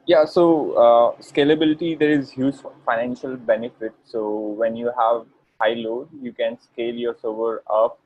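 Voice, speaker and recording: A man's voice: mid-range voice, flat delivery, normal speed, highs cut off, a little hiss or hum. A man from India, a student developer who starts hesitantly and then explains.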